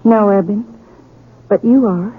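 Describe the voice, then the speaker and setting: A woman playing a gentle young schoolmarm, measured and regretful, in a 1950s radio drama. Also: medium pitch, a little hiss or hum, lightly acted. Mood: sorrowful. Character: gentle, sad young schoolmarm turning down a suitor. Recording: tinny muffled old radio broadcast.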